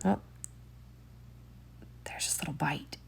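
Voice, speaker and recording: A woman teacher reading a children's picture book aloud, expressive, with soft whispered asides. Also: a little slow.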